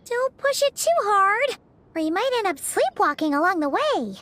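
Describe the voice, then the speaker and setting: A pint-sized fairy companion from a game, squeaking high and fast while she frets over a friend. Her voice darts along with a little background hum. She is chatty and bossy in a caring way. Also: big pitch swings, a bit bright, heavily acted. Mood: worried. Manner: quick, high and fussing. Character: tiny chirpy fairy girl companion.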